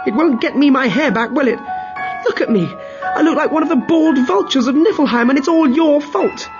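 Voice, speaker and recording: A man voicing a furious, sharp-tongued goddess who scolds her husband in a rapid, shrill voice. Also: highs cut off, music in the background.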